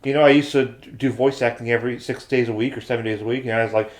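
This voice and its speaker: A man's voice: mid-range voice, fairly even intonation, fast talker. A man hosting a podcast in a casual, chatty style. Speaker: podcast host. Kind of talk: casual chat.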